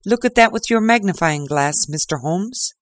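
A reader voicing a pompous, triumphant male police inspector who talks loudly and gloats. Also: medium pitch, expressive, moderate pace, no echo, voice pushed up, close to an everyday voice. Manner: loud, gloating, crowing.

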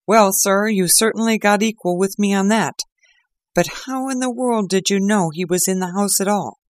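A man doing a loud, blustering police inspector. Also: normal speed, no echo, studio quality, close to an everyday voice. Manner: loud, blustering, high-pitched. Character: gruff excitable male police inspector. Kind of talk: audiobook character reading.

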